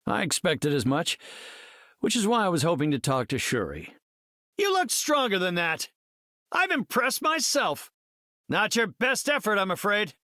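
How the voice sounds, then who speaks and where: An actor playing a brainy, confident scientist hero in a video game, speaking in an articulate, measured and slightly formal way. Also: male voice, high-pitched, sharp tone, no echo, studio quality, exaggerated voice. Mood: confident, triumphant. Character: brainy, confident, earnest male scientist superhero leader.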